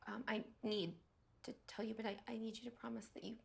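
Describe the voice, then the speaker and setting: A woman's voice: a little high, fairly even intonation, quick pace, gravelly, slightly rough, exaggerated voice. A woman playing a frightened, stammering young woman. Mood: nervous. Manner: hesitant, stammering whispers turning to defiant shouts. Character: young woman confessing to her controlling partner. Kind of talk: scripted short-film drama.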